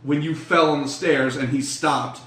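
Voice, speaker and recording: A man's voice: a little high, fairly even intonation, normal speed, fairly bright tone. A man running an improvised tabletop game as game master, narrating in a casual, conversational way. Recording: echoey room, distant mic, faint noise.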